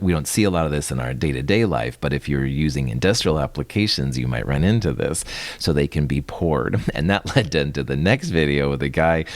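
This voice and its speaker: A man with an extremely deep voice gives a recorded chemistry lecture. He talks in a loose, conversational way and chuckles often. The sound is dry and close, with no room around it. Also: dry recording. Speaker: chemistry instructor.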